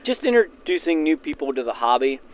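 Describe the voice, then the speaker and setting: A man's voice: fairly high pitch, sing-song, brisk. Man interviewed through tinny old radio sound. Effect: backdated 1940s radio filter. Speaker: railroad passenger services supervisor being interviewed. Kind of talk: informal interview answers.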